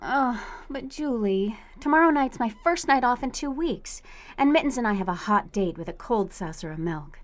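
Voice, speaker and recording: An actress playing a wry, playful young stripper in a noir radio drama, with teasing banter. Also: female voice, on the high side, lively intonation, normal speed, lightly acted. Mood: dry humor. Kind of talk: 1950s-style noir radio drama acting.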